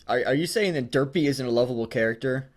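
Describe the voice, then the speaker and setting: Rambling man in casual joking chat. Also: medium pitch. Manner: rambling, halting, stammering. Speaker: co-host of a fan fiction reading show.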